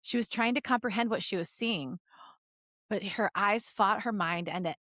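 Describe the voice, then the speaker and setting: A woman's voice: mid-range voice, moderate pace, fairly bright tone, dry recording. Female podcast co-host, mid-range voice, playful and cheeky, casual comedic delivery, very dry sound. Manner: playful, giggly, bawdy joking. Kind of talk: casual comedic chat, reading fan fiction aloud.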